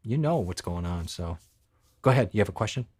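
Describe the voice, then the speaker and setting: A man talks gear on a home live stream in a slightly low voice, joking and restarting his sentences as he goes. The pencil condenser mic catches him close and dry, with no room sound. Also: lively intonation, brisk, darkish tone, breathy edge, no room sound. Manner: joking, rambling, frequent restarts. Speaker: co-host of a tech live stream. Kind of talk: casual live stream chat.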